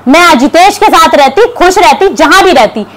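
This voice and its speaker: A woman's voice: very high-pitched, lively intonation, moderate pace. A young woman vlogger giving combative reaction commentary to camera, scolding and sarcastic. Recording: clear background noise.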